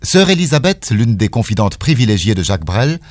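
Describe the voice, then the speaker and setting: A man with a low voice reads scripted travel narration at an even, measured pace. The sound is dry and close, with no trace of the room. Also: dry recording. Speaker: reporter and narrator.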